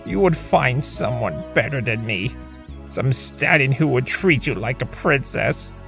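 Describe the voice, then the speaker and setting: A man playing a remorseful, lovestruck male trickster spirit in an audio drama, speaking in a halting, earnest way, with music under the voice. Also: medium pitch, expressive, gravelly, fairly bright tone. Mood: tender, self-doubting. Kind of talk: fan audio drama acting.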